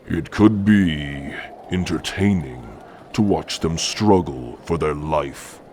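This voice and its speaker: An older orc chieftain with an extremely low voice. He talks slowly and imperiously, with cold, cruel amusement and disdain. It is a fantasy ASMR roleplay with faint noise in the background. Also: a little mellow, exaggerated voice.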